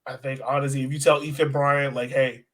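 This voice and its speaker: A man's voice: mid-range voice, flat delivery, brisk, fairly bright tone. A man co-hosting a sports podcast, chatting casually in informal, slangy language and often turning to his co-host.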